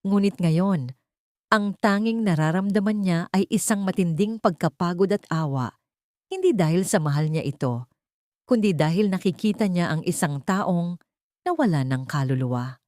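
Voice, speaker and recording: A woman's voice: on the low side, animated, moderate pace, warm tone, no room sound, studio quality. A woman narrating a scripted romantic drama as a storyteller, in a dramatic style.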